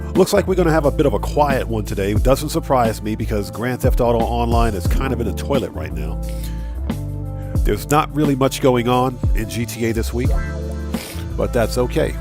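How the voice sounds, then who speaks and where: A man's voice: mid-range voice, normal speed. A man hosting a gaming live stream, greeting viewers by name in a casual, friendly way, sounding a bit nasal from a cold. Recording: music playing under the voice. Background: background music.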